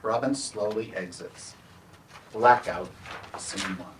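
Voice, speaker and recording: A man's voice: on the low side, slow pace, fairly bright tone. A man introducing a staged play reading and narrating its stage directions to an audience. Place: library event room, staged reading. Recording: distant mic, noisy room ambience.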